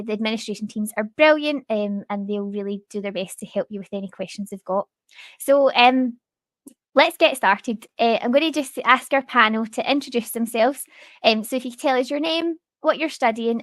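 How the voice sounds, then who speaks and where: A woman moderates an online question and answer session. Her voice sits a little high and the sound is dry. She phrases things informally, with some hesitation. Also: normal speed, no echo. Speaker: host, university staff moderator. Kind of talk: hosting an online student Q and A panel. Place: online video call.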